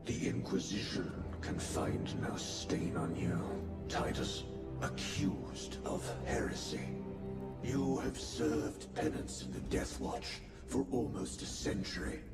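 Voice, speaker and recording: Slow, echoing, stern warrior priest. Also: male voice, sits low, big pitch swings, heavily acted. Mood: grave, judging. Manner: stern, ceremonial, measured. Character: grave, judging male warrior priest of a sci-fi order. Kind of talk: solemn ritual game cutscene acting. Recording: echoey distant voice under loud music.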